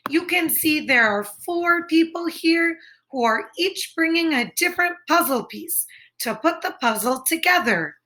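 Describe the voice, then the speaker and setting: High, bright woman teaching young children. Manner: clearly enunciated, explanatory, simple phrasing. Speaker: children's read-aloud teacher. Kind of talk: lesson and story reading for children.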